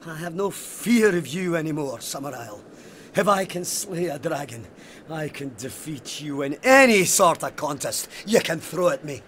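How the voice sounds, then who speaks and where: An actor in an audio drama playing a stubborn, haunted police sergeant, intense, righteous and suspicious. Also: male voice, fairly high pitch, animated, moderate pace, fairly bright tone, slight background noise. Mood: defiant.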